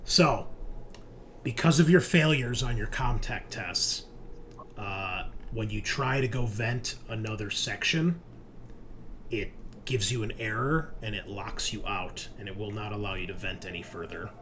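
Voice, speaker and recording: Casting: male game master, lowish voice, informal and joking narration, slight background noise. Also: measured pace, a bit bright. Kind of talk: casual narrating of an online tabletop roleplaying game.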